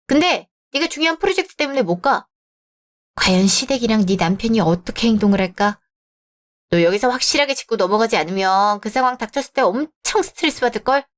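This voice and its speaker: A woman's voice: on the high side, close to an everyday voice. Woman as a blunt young office friend. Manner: blunt, advising. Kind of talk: radio drama acting.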